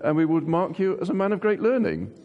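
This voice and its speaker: A man's voice: high-pitched, big pitch swings, fast talker, darkish tone, muffled recording. A jovial man interviewing in a playful, wordy way, often repeating himself, as if before an audience. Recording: echoey hall with long reverb.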